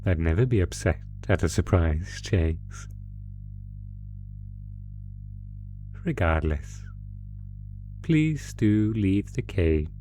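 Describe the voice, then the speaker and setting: Sadistic monster played by a man, low-pitched and unhurried, taunting a captive with mock courtesy, horror audio drama over music. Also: expressive, warm tone. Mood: smug, taunting, then coaxing, mock-generous. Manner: slow, taunting, mock-polite, savoring pauses. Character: sadistic male monster gleefully toying with a captive. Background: musical score.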